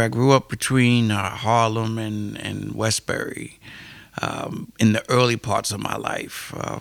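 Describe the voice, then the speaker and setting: A man's voice: on the low side, creaky, no room sound. A middle-aged American man with a New York accent, telling his life story as an interview guest, thoughtful and full of fillers. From United States. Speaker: guest poet and musician.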